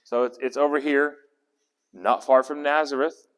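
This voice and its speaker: A man's voice: mid-range voice, steady tone, normal speed, fairly bright tone, clear, resonant tone. Guy leading a bible study, chatty, keeps asking the group stuff, echoey hall. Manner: conversational, asks the group questions, restarts words. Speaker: Bible teacher. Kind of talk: interactive Bible study teaching. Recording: long echo of a hall.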